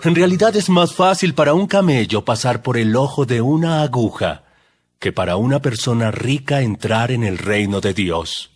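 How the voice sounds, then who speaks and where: A calm, solemn religious teacher played by a male actor addresses his followers in a dramatized audio Bible. The delivery is measured and emphatic, with wide swings in intonation. Music plays clearly behind him. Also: mid-range voice, natural voice. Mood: earnest teaching. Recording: background music under the voice.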